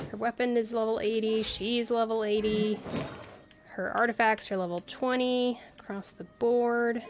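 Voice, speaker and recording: A woman giving casual livestream commentary as she plays a video game, with playful exclamations and quaint interjections. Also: medium pitch. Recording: game music behind the voice.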